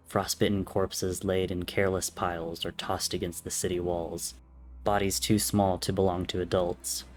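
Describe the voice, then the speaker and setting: A man reading fiction as a dramatic, scripted narrator. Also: deep voice. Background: soft music or ambience.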